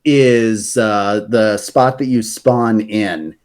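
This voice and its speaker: A man's voice: fairly low pitch, fairly even intonation, extremely slow, darkish tone. A man on a podcast explaining fantasy game lore in a casual, rambling way, with many fillers and restarts. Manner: rambling, self-correcting, hesitant fillers.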